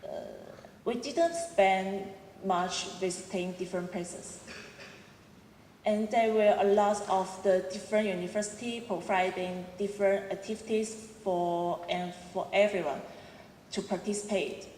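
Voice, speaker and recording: A woman's voice: mid-range voice, fairly even intonation, unhurried, darkish tone, a little hiss or hum. Young woman with a Cantonese accent. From Hong Kong. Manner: earnest, reading with small restarts. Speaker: student speaker. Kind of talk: prepared ceremony speech. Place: large auditorium. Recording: echoey hall, roomy reverb.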